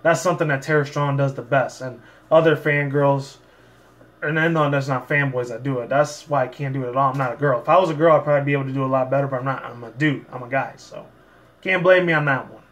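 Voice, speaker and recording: A man's voice: mid-range voice, steady tone, quick pace, a bit bright. A man hosting a fan fiction reading, talking casually in a rambling, repetitive way. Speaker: channel host and fan fiction reader. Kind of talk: casual vlog talk and story narration.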